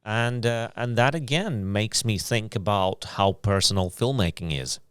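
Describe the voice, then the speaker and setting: Male host, low register, relaxed conversational interviewer, dry podcast sound. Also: normal speed, smooth, no echo. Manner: easygoing, conversational, drawing out the guest.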